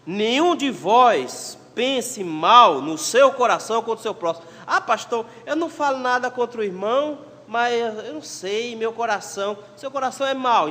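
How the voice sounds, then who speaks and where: A man with a Pernambuco accent preaching a sermon as pastor, emphatic and shifting between loud exhortation and quiet asides. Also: very high-pitched. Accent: Pernambuco Portuguese. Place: church sanctuary. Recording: long church reverb.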